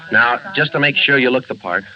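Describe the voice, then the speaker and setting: An actor playing a terse, matter-of-fact police sergeant who narrates the case in a 1940s radio drama. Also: male voice, mid-range voice, brisk, close to an everyday voice. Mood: curt. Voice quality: clipped, flat, matter-of-fact delivery. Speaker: lead detective and narrator. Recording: muffled old radio broadcast with music.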